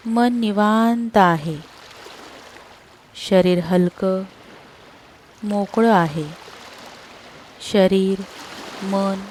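A woman narrating a scripted sleep meditation in a soothing, unhurried manner, leaving long pauses between short phrases. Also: mid-range voice, darkish tone, a little hiss or hum.

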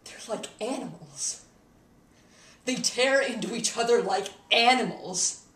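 A woman playing a haunted, embittered young wizard turned killer in a dramatic monologue, intense and halting, swinging between hush and outcry. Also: on the low side, lightly acted. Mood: bitter, agitated. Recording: echoey room, distant mic, faint noise.

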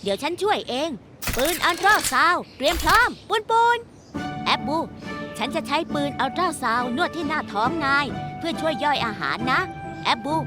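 A woman's voice: sits high, sing-song, moderate pace. A woman voicing a cheerful, kind robot doctor bus in a children's cartoon dub. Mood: gentle. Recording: loud music under the voice.

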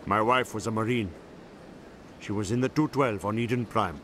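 An actor playing a dignified, grieving widower in a video game, formal and courteous. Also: male voice, lowish voice, normal speed, fairly bright tone, slight background noise, natural voice. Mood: sorrowful.

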